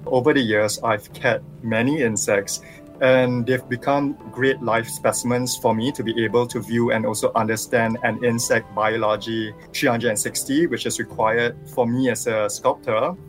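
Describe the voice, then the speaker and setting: A man from Singapore with a Singaporean accent, a visual artist calling into a radio interview over the phone, explaining his work in a thoughtful, measured way. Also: mid-range voice, steady tone, soft background music. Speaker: visual artist and sculptor guest. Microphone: phone line.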